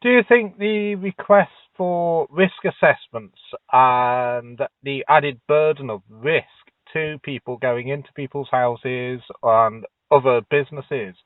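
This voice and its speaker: A man interviewing someone, putting his questions in a halting way and rephrasing as he goes. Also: medium pitch, clear, resonant tone, dry recording. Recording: thin, narrow phone-like sound.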